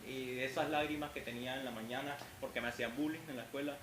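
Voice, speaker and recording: A man's voice: mid-range voice, steady tone, quick pace. A young man at a convention Q&A, talking in an earnest, rambling way with restarts. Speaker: fan asking questions. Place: convention hall. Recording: echoey hall with background noise.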